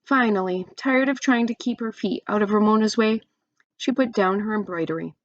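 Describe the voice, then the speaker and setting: A woman's voice: medium pitch, normal speed, dry recording, lo-fi sound. A woman reading a children's story aloud expressively, with chatty asides to young listeners.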